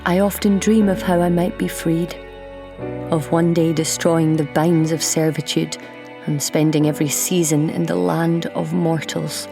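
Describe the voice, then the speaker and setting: A woman playing a bitter, defiant immortal goddess held captive, in measured, brooding audio drama acting. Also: on the low side.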